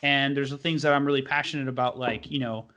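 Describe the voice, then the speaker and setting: A man's voice: mid-range voice, steady tone, fast talker, bright, forceful tone. A young man hosting a podcast who talks casually and enthusiastically and rambles with many fillers. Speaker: podcast host.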